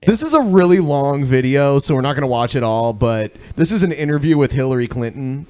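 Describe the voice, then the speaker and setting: A man's voice: medium pitch, lively intonation, brisk, dark tone, clean tone, no echo. A man co-hosting a podcast with casual, profane and irreverent comedic commentary. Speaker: podcast co-host.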